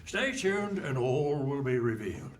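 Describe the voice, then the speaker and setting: Animated male narrator, off the mic. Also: medium pitch, measured pace. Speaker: radio play narrator. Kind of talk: theatrical scripted narration, comic. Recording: live hall stage, distant mic, faint noise.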